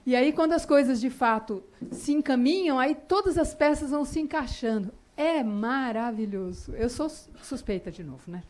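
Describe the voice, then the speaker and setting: Woman, high voice, lively expressive panelist on an auditorium stage. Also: moderate pace, few pauses. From Brazil. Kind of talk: enthusiastic panel talk.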